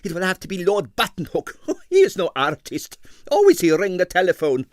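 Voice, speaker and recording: A man voicing a gloomy, excitable ballet impresario in a storybook, theatrical and mournful in broken English. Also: high voice, normal speed, no echo, clean studio sound, voice pushed up. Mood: despairing.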